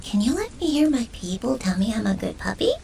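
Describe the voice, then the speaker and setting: A woman's voice: a little high, sing-song, a little fast, creaky, dark tone, slight background noise. A woman voicing a timid, childlike, needy puppy in a comedic audio drama.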